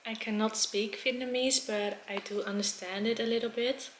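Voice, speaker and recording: A woman's voice: on the high side, fairly even intonation, normal speed. Woman, 20s, casual vlog host, level delivery, roomy recording with faint noise. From Netherlands. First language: Dutch. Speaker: mukbang video host. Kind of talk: casual vlog chat while eating.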